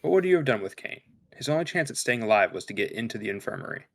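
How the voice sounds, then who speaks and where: A man playing a calm, detached spaceship science officer with a measured, clinical delivery in a sci-fi script table read. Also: mid-range voice, creaky, husky, full character voice. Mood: defensive, measured.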